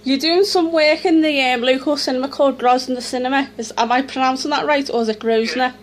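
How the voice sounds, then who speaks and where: A woman's voice: high voice, fairly even intonation, quick pace, slight background noise. A woman interviewing a guest over a phone call, chatty and prompting, often laughing.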